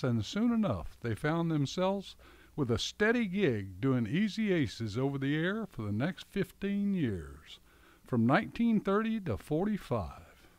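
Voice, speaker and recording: Animated, higher-pitched man hosting radio. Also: no room sound. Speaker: radio show host. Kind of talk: scripted radio introduction.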